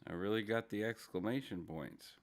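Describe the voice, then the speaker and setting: A man hosting a casual morning livestream, greeting viewers by name in a drowsy, rambling way with a low, gravelly morning voice. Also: normal speed. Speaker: livestream host.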